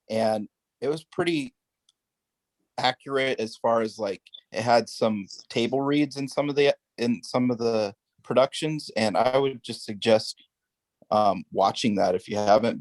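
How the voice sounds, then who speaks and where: A man speaking in a casual group discussion, measured and a little hesitant. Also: medium pitch, dry recording, low-quality recording. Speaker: study group participant.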